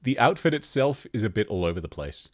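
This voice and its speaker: A man with a thick Australian accent, chatting casually as he reviews game outfits and laughs often. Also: fast talker. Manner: chatty, laughs often, lively pitch jumps. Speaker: gaming video host. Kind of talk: casual commentary.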